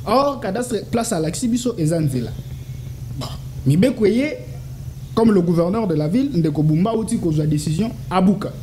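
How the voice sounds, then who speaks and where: Insistent high-voiced Congolese man. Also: lively intonation, moderate pace, dark tone, slight background noise. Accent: Congolese French. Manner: insistent, rhetorical questions, repeated stammered syllables. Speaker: political analyst guest. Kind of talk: interview answers, mixing French and Lingala.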